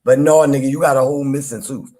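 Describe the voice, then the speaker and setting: A man with a deep voice, hosting a live chat room and teasing rivals in loose, playful banter with frequent laughter. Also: fairly even intonation, fast, a little mellow. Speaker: live audio-room host. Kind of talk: casual trash-talking chat to listeners.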